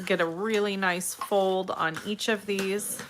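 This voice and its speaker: A woman with a slightly raspy, congested voice hosts a casual step-by-step craft tutorial, addressing viewers in a friendly, direct way. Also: mid-range voice, fairly even intonation, slow pace, slight background noise. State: congested, irritated throat from allergies.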